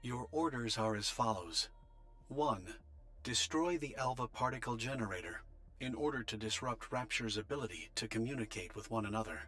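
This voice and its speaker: An actor playing a formal male official delivering a measured, bureaucratic scripted message in a video game. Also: mid-range voice, a bit bright, faint music behind, close to an everyday voice. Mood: businesslike. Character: formal male official of an underground refuge giving orders. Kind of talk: scripted voice transmission, formal address.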